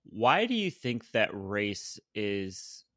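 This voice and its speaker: Man, mid-pitched, slow and thoughtful podcast host asking questions; dry sound. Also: sing-song, fairly bright tone, no echo. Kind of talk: casual conversation.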